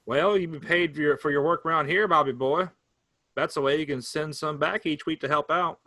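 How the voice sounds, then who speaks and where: A man's voice: on the high side, fairly quick, pushed and bright, dry recording. A man reading the part of an easygoing older farmer brother, coaxing and teasing in a folksy way. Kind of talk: staged play reading.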